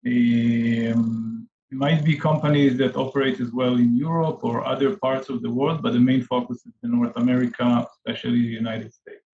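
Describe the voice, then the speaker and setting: A middle-aged man from Israel, an investor answering interview questions with many pauses and fillers. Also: mid-range voice, steady tone, a little mellow, muffled recording, slight background noise. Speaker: venture capital investor guest.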